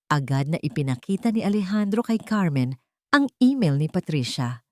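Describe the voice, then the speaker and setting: Low-voiced woman narrating a story. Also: very animated, moderate pace, dry recording, clean studio sound. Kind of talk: scripted dramatic story narration.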